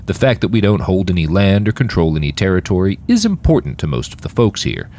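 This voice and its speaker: Firm, low-voiced road-city driver, read by a man. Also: very animated, fast, a little mellow, a little hiss or hum. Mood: matter-of-fact. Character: stern proud post-human road-city driver, adult man.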